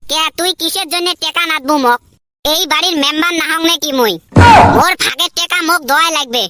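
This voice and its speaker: Cheeky scheming young son, acted by a man, very high whiny voice, northern Bengal accent, noisy recording. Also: brisk. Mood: cheeky, defiant. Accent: northern Bengal (Cooch Behar region). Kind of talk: comedy cartoon voice acting in rural dialect.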